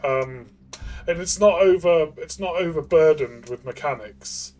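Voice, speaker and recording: A man's voice: fairly high pitch, measured pace, a little mellow. A man co-hosting a casual review discussion, thinking aloud and rephrasing himself as he goes. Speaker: podcast co-host and game reviewer.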